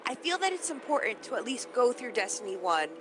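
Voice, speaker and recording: A woman's voice: a little high, sing-song, brisk, over music. A woman bantering casually as a co-player on a video game stream.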